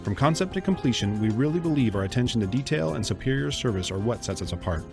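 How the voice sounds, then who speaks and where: A man's voice: mid-range voice, lively intonation, quick pace. Male ad announcer over music. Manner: smooth promotional delivery. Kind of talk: scripted radio ad read. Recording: radio ad with music bed.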